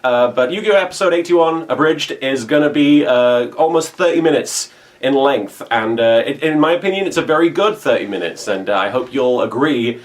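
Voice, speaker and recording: A man's voice: mid-range voice, lively intonation, normal speed. A man co-hosting a casual update for fans of his web videos, talking informally as a creator.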